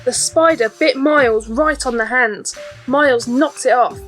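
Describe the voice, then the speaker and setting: A woman's voice: high-pitched, a little slow, fairly bright tone. A woman reading a scripted children's storybook as an audiobook narrator. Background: faint background music.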